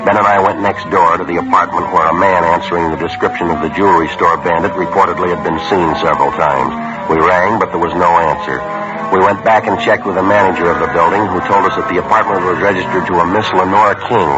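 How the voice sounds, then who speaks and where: Actor as a clipped, deep-voiced police sergeant. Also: male voice, fast, lightly acted. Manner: clipped, flat, matter-of-fact. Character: terse police sergeant narrating a case. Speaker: police detective and narrator. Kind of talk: 1950s radio drama acting. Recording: dull old radio broadcast with music.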